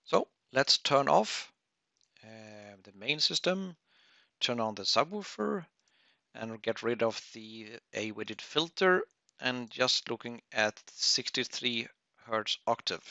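Halting man, slow and bright-voiced. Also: mid-range voice, expressive, no room sound. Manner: halting, thinking aloud, frequent throat clearing. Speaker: webinar presenter. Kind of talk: unscripted software tutorial.

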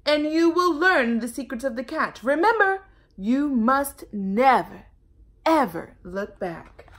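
A sly storybook cat, played by a woman reading aloud, speaking in a high, swooping voice. The delivery is grand and coaxing, stretching words out to lure its listeners with honeyed politeness. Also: animated, takes it slowly, a bit bright, exaggerated voice. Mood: commanding. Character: sly, honey-tongued cat luring mice. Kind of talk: children's storybook read-aloud.

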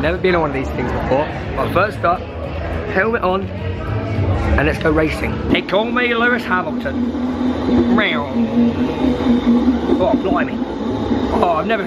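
A young man, hoarse from losing his voice, vlogging excitedly to a handheld camera outdoors at a busy event. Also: fairly high pitch. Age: young adult. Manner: excitable, chatty, jokey. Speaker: football vlogger. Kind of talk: casual vlog to camera. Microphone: handheld camera at arm's length.

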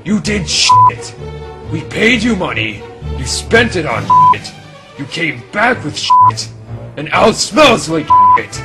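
A man playing a brash, hot-tempered, miniskirt-obsessed officer in a comedic anime parody dub, gravelly, swaggering and given to melodramatic, sweary exclamations. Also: high voice, wildly swooping pitch, slow pace, full character voice. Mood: sarcastic, angry. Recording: music behind the voice.

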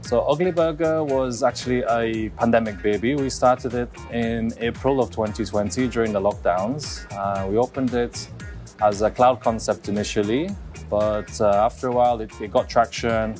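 A man's voice: mid-range voice, fairly even intonation, normal speed, darkish tone, soft background music. A man in his thirties from Hong Kong, a restaurant co-founder, speaking to camera in a restaurant through a lapel mic. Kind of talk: to-camera interview segment. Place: restaurant dining room.

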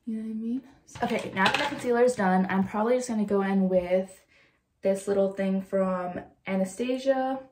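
A woman's voice: medium pitch, normal speed, slight background noise. A young adult woman casually narrating her day for a vlog, chatty and full of fillers. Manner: chatty, filler-heavy, talks to the viewer. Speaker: vlogger.

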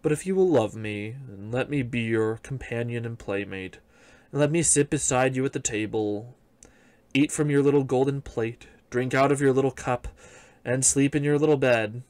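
A coaxing frog from a storybook well, low and slow as he bargains, with a man putting him on. The voice sits below the reader's usual tone. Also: darkish tone, no room sound, close to an everyday voice. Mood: bargaining.

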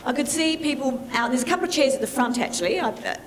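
A woman in her fifties moderating a conference Q&A with a handheld mic, chatty and warm, often restarting her sentences. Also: sits high, animated, quick pace, a crowd in the background. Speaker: event moderator. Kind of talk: moderating a panel Q&A. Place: large conference hall with audience.

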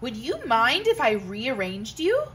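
A woman voicing a gentle, polite male hermit crab in a storybook, soft and earnest. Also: a little high, very animated, unhurried, slight background noise. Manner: soft, earnest, asking kindly.

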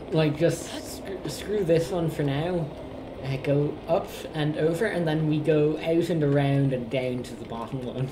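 A young man chatting casually as he plays a co-op video game, thinking aloud hesitantly. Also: on the high side. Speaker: gamer playing co-op on a shared controller. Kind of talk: casual gameplay chat. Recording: roomy, clearly noisy home recording.

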